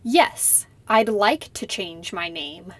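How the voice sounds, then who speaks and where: A young woman playing a polite citizenship applicant who answers slowly and carefully in a scripted practice interview. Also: mid-range voice, expressive, no room sound. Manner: slow, careful, deliberate answers. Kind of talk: scripted role-play interview.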